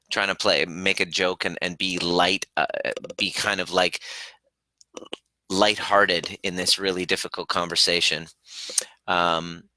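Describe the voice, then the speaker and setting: A man who grew up in Canada after early years in Iran, hosting a live question-and-answer stream in an earnest, halting way with many restarts. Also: sits low, bright, forceful tone, no echo, poor audio. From Iran, raised in Canada. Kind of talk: casual live-stream talk.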